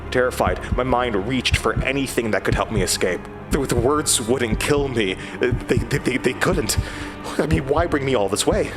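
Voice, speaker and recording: An actor playing a frightened, introspective man narrating his horror ordeal in a halting, brooding way. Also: lowish voice. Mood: shaken, pleading. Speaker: narrator and lead character. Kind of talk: first-person horror audio drama narration. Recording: music under the voice. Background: prominent music.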